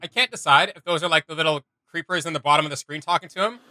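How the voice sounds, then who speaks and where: A man's voice: fairly high pitch, very fast, sharp tone, no room sound. A man hosting a reaction video, commenting casually in an amused, rambling way.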